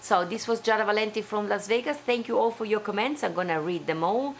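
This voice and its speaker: A woman's voice: medium pitch, fast talker, creaky, slight background noise. A woman from Italy with an Italian accent, hosting a live stream from home in an effusive, chatty way. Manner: effusive, chatty, with small slips in English. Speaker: live-stream show host. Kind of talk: casual live-stream sign-off.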